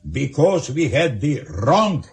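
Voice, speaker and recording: An actor playing a scheming Soviet leader in a radio drama, with a measured, weighty delivery. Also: male voice, fairly high pitch, big pitch swings, a little mellow, exaggerated voice. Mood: grave, calculating.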